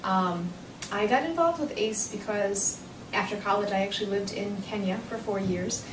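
A woman working as an education director, explaining her role calmly with a few fillers. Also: mid-range voice, moderate pace. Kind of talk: interview answers. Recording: echoey hallway, distant mic, noise.

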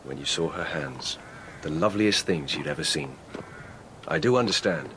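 An actor playing an earnest, tender lieutenant in love in a radio drama, speaking gravely in few words. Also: male voice, animated, normal speed, fairly bright tone, slight background noise. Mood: tender, resigned. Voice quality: very deep.

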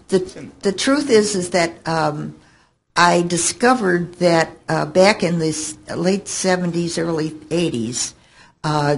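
An older woman answering questions on a panel, haltingly, thinking aloud, into a table microphone in a conference room. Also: sits low. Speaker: panelist and longtime fan. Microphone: table microphone on stand.

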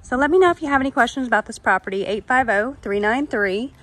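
A woman's voice: fairly high pitch, quick pace, a bit bright. A woman working as a real estate agent, narrating an informal walk-through of a property. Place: walking through a house and its yard.

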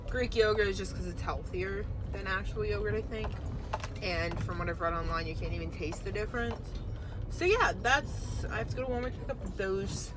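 A woman's voice: medium pitch, fairly quick. A teenage girl chatting casually to her phone camera as she drives, rambling with lots of fillers and self-corrections. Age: teens. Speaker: vlogger. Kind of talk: casual chat while driving. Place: inside a moving car. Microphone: phone camera on the dashboard. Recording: loud road noise in a car.

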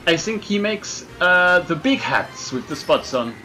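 A man doing comic gameplay commentary in a fake French accent, excitable and hammy, laughing at his own jokes. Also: sits high, measured pace, soft background music. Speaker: let's play gamer.

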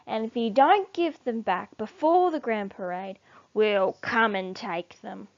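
A woman voicing an upset, demanding jungle animal in a storybook, loud and wailing. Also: mid-range voice, a little slow, no room sound, highs cut off, close to an everyday voice. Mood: indignant. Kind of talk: read-aloud children's storybook.

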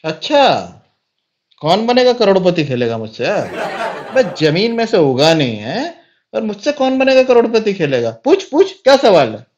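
A man's voice: high voice, very animated, moderate pace, a little mellow, muffled recording. A man playing an indignant middle-aged uncle in a comedy sketch, scolding and incredulous at a prank caller. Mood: mocking, challenging.

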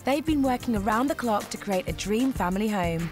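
A woman presenting, giving bright, explanatory voice-over narration for a home makeover programme. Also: medium pitch, expressive, brisk. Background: background music.

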